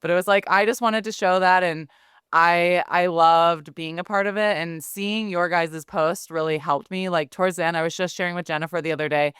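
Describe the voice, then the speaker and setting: A woman's voice: on the low side, steady tone, brisk, fairly bright tone, clean tone, dry recording, studio quality. A woman in her thirties who runs a skincare business, chatting casually on a podcast and acting out her own thoughts in little quotes.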